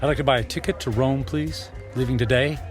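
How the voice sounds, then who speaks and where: An actor playing a giddy, big-spending man on a reckless spree in a radio drama, stammering and excitable. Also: medium pitch, fairly quick, lightly acted. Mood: hurried. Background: music.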